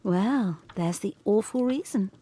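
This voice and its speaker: A woman's voice: mid-range voice, animated, measured pace, dark tone, breathy edge. A woman playing an agitated, resolute young governess in a gothic audio drama, speaking with intense, formal period diction. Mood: determined.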